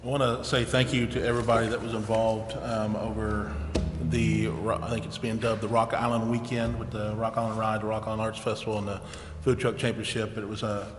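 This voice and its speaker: A man chairs a public meeting with informal remarks. He speaks quickly in a fairly low, even voice. The chamber is echoey, with clear noise behind him. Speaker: city council meeting chair. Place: indoor city council chamber. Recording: echoey, noisy council chamber.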